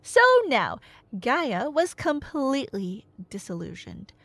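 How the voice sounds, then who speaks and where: A woman with a fairly high, very animated voice tells a story like a nerdy girlfriend on a ramble. She takes her time and swings her pitch around, dropping playful, mock-indignant asides. The recording is dry and close. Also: slow, gravelly, no room sound. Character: chatty nerdy girlfriend excitedly retelling Greek myths. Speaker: audio roleplay performer playing a girlfriend retelling a myth. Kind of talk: casual rambling storytelling in a one-sided audio roleplay.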